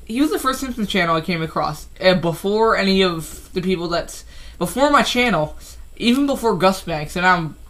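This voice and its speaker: A man's voice: high-pitched, lively intonation, normal speed, fairly bright tone. A fifteen-year-old boy chatting casually about his toy collection, rambling with lots of ums and restarts. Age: teens. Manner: rambling, with many ums and self-corrections. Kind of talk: casual collection update vlog.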